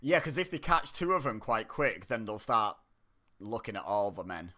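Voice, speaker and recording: Bright-voiced, joking young man reacting. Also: on the low side, very animated, moderate pace. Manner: chatty, joking, laughs often, self-interrupting. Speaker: online reaction host. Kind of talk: casual reaction commentary.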